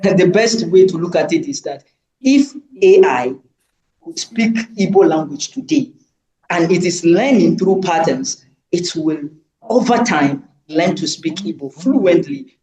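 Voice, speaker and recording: A man's voice: on the high side, expressive, measured pace, warm tone. A man arguing his point in a panel debate, insistent and full of restarts. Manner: argumentative, insistent, with repeated restarts. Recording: echoey room.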